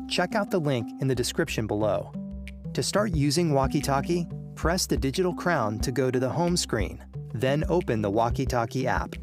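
Male how-to narrator over music. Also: mid-range voice. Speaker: tutorial narrator.

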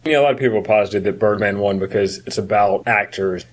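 A man's voice: on the low side, brisk, slightly rough. A man talking casually about films as a co-host on a podcast. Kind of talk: casual film discussion.

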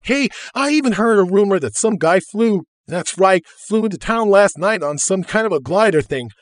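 A chubby, cheeky younger brother with a raised, eager voice, played by a male narrator. He talks fast and breezily, full of excitement. The recording is dry and close. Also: high-pitched, no room sound, pitched above the performer's own voice. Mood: excited.

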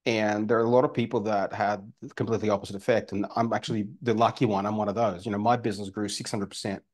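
Guest: fortyish man, Australian accent, lowish voice, halting and filler-heavy, webcam from home. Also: little pitch movement. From former Soviet Union, raised in Australia. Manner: rambling with many fillers and restarts. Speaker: guest entrepreneur. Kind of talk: casual interview talk. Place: home room by a fireplace. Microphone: video call webcam.

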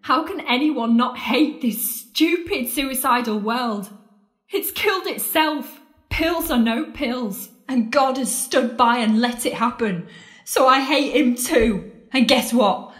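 A woman's voice: a little high, animated, measured pace, fairly bright tone. An actress playing a bitter, scornful woman confronting her lost love in an audio drama, sardonic and accusing. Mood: angry, bitter. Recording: roomy, echoing space.

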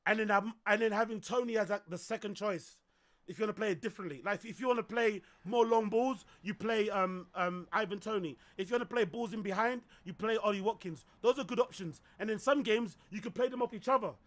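Excitable man with a high voice. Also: fairly quick. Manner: excitable, shouting, swears often. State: voice going hoarse. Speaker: streamer reacting to a football match. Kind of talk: live watch-along commentary. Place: small room indoors.

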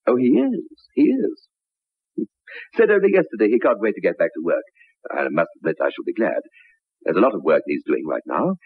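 Scheming husband: gravelly, lively inflection, falsely kind, vintage radio drama on narrow-band recording. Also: male voice, deep voice, normal speed, no echo, exaggerated voice. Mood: soothing, brisk. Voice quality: gravelly, raspy. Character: scheming husband, outwardly soothing and helpful. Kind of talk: 1960s radio drama acting.

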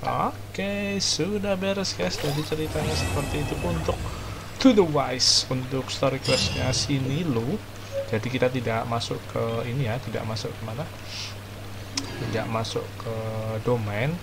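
A young Indonesian man with an Indonesian accent, rambling casually as he recaps a game quest, pausing to search for words. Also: medium pitch, noisy background. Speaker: gaming video host.

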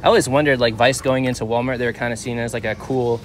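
A man's voice: mid-range voice, steady tone, fast, slight background noise. Chatty man filming a store walk-through. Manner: chatty, rambling, frequent uh fillers and self-corrections. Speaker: vlog host. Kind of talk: casual walk-through vlog. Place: large indoor store aisles. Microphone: handheld camera held by the filmer.

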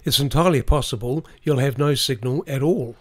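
A middle-aged man giving a calm, explanatory voice-over about planning a trip. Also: medium pitch. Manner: measured, explanatory. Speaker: vlogger. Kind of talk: scripted voice-over narration.

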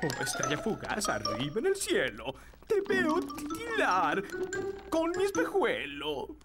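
Over clear music, a pompous old gentleman caterpillar swoops extravagantly between high and low. He is courtly and gushing, drawing out his words in cartoon style. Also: wildly swooping pitch. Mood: theatrical musing. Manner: pompous, effusive, drawn-out delivery. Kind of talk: animated cartoon dub acting. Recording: music behind the voice.

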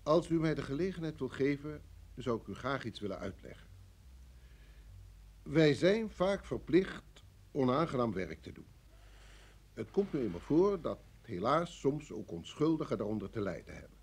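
An actor playing a senior security chief in an old radio drama, brusque and formal, with a husky voice and an authoritative manner. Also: male voice, medium pitch, sing-song. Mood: apologetic, placating. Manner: authoritative, formal, measured phrasing. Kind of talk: vintage Dutch radio drama acting.

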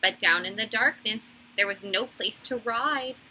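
A woman in her thirties reading a children's story aloud like a teacher, in a deliberate, animated storytelling way. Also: on the high side, rough recording. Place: small indoor room.

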